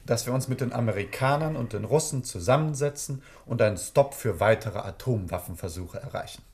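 A man's voice: medium pitch, lively intonation, fairly quick, darkish tone, lightly acted. A man playing a deferential but sceptical government aide in a German radio drama, polite and hesitant. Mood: incredulous.

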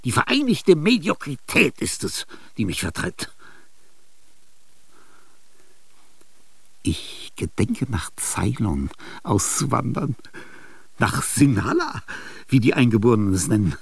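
An actor in a radio drama playing an ailing, aging male genius, restless and grandiose. He speaks confidingly in a husky, gravelly voice, with sudden outbursts and whispers. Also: medium pitch, big pitch swings, a little slow, dry recording, heavily acted. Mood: excited. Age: older. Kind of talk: theatrical radio drama monologue.

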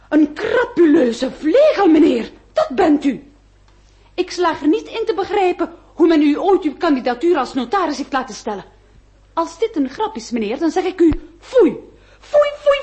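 A woman's voice: very high-pitched, a little mellow. A woman acting a haughty, indignant middle-aged marchioness in a radio play, with pompous, formal diction. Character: haughty, indignant aristocratic marchioness, mother of a marriageable daughter.

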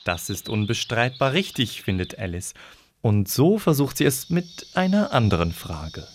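A man's voice: medium pitch, big pitch swings, normal speed, a little hiss or hum. A man narrating a scripted fairy tale as the storyteller of an audio drama.

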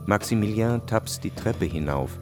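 Low-voiced male narrator, sober. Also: expressive, music in the background. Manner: sober, measured. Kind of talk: scripted radio drama narration.

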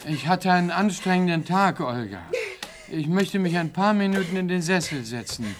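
A man's voice: high voice, animated, moderate pace, noisy background. An actor playing a middle-aged, tired and unconcerned husband and father in a radio play, with a placid, dismissive manner. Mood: weary. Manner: unbothered, dismissive. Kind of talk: German radio play acting.

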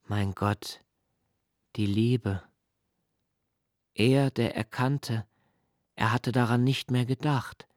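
An actor playing a brooding, intense male narrator in a literary radio drama, with halting, contemplative and emphatic phrasing. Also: mid-range voice, lightly acted. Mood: hushed, questioning. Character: brooding male narrator reciting a prodigal's homecoming, searching and intense.

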